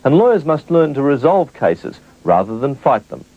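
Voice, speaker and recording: A man narrating a TV news report with a lively, emphatic reading. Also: medium pitch, normal speed. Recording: muffled old TV broadcast.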